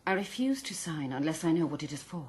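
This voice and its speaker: An actress playing a proud, defiant aristocratic wife in a radio drama, formal and haughty. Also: female voice, deep voice, big pitch swings, fast talker, darkish tone. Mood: suspicious, defiant.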